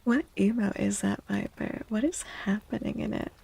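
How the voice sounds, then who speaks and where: A woman from the United States chatting softly and casually in an ASMR style, with a gentle, encouraging manner, as a streamer talking to viewers. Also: medium pitch, normal speed, creaky, slightly rough. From United States, born to Mexican parents. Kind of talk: soft-spoken ASMR casual chat. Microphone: close, dry microphone.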